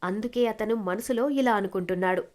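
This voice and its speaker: A woman's voice: medium pitch, fairly quick, darkish tone. A woman narrator reading a scripted moral story.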